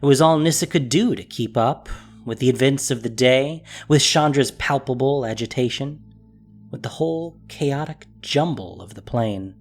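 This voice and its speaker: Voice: medium pitch, moderate pace. A narrator reading a scripted fantasy story in a dramatic storytelling style.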